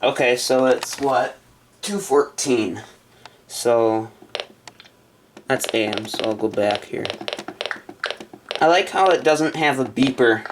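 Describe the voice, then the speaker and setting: A man talks slowly and haltingly in a casual unboxing video, at an ordinary medium pitch. He sounds like he is half talking to himself while his hands are busy. Clear noise, crinkling and clicks sit behind his voice. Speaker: vlogger unboxing a gadget. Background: handling noise, crumpling and clicks.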